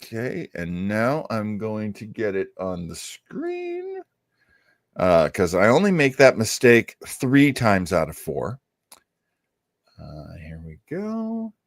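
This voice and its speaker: A man's voice: fairly low pitch, huge pitch swings, slow pace, dry recording, studio quality. A man co-hosting a radio show, chatting casually and hesitantly, with playful self-deprecation as he introduces old-time radio.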